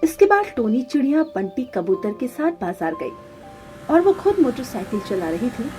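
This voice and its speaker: A woman's voice: high voice, very animated, moderate pace, warm tone, soft background music. A woman narrator reading a scripted children's cartoon story.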